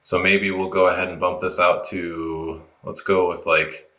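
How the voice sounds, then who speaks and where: A man with a deep voice walks through software steps in an informal, unscripted way. His tone is bright and forceful for its low register. The recording has a noticeable room echo. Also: level tone, a little slow. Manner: informal, unscripted, explaining as he goes. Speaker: product tutorial presenter. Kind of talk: casual step-by-step app walkthrough.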